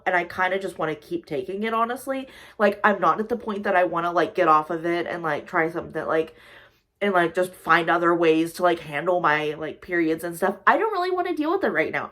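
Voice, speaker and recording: A woman's voice: medium pitch, a bit bright. A woman in her twenties chatting casually in a vlog, rambling with lots of fillers as she talks through her worries. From United States. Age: 20s. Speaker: social worker and vlogger. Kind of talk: casual chat.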